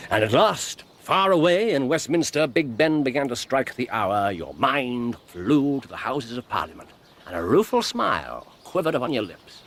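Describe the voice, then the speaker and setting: An actor playing a keen, self-assured Victorian detective with an English accent and a precise, theatrical manner in a radio drama. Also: male voice, medium pitch, sing-song, normal speed, a bit bright. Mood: teasing, assured. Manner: precise, theatrical, teasing deductions.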